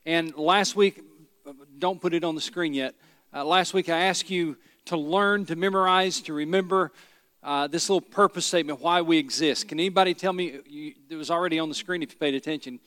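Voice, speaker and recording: A middle-aged pastor from Tennessee, preaching a sermon with folksy stories and rhetorical questions. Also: male voice, a little high, fairly even intonation, a little fast, a bit bright, dry recording, studio quality, in a large hall. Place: church sanctuary.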